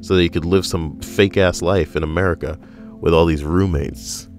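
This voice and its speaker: A man co-hosting a casual podcast, reading out strangers' stories with wry, deadpan, rambling asides. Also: sits low, moderate pace, soft background music. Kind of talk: casual joking commentary.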